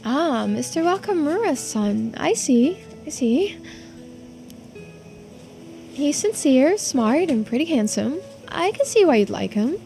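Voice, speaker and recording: A woman's voice: high voice, big pitch swings, takes it slowly, vocal fry, dark tone, pitched above the performer's own voice, heavily acted. A woman voicing an earnest, self-deprecating bookish youngster in a video game, apologetic and eager to help. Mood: thoughtful, supportive. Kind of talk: video game character voice-over. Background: music.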